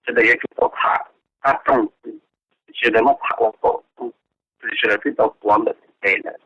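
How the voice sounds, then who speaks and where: A man's voice: mid-range voice, huge pitch swings, moderate pace. Man on a muffled, lo-fi phone line. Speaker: conference call participant. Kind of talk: informal conference call talk. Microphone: telephone. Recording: dull, lo-fi telephone conference line.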